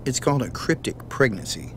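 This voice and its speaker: An actor playing a grave, hesitant doctor who gently breaks bad news in a visual novel game. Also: male voice, mid-range voice, animated, a little fast, noisy background, natural voice. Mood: grave, careful.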